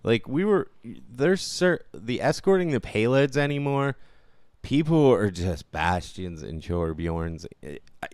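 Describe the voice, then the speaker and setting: A man's voice: medium pitch, big pitch swings, unhurried, a little mellow, dry recording. A man co-hosting a podcast in casual gaming chat, informal and opinionated, given to complaining. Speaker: podcast co-host.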